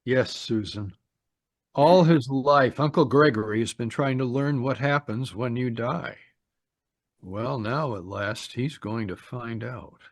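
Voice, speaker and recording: An actor playing a suspicious, defiant young nephew in an old-style radio mystery. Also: male voice, medium pitch, slow, no room sound, studio quality. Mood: somber. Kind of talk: 1940s radio mystery acting. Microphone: video call.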